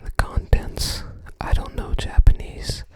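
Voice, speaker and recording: A soft, breathy whisper carries this ASMR creator's voice throughout. The delivery is very slow and musing, as the person thinks aloud while leafing through and showing something to the listener. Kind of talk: ASMR show-and-tell of a book.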